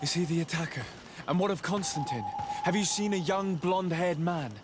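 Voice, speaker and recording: A man's voice: sits high, fairly quick, natural voice. A man playing an earnest, noble adventurer with polite, formal phrasing in a video game cutscene. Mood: concerned, resolute. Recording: clearly noisy game-audio background.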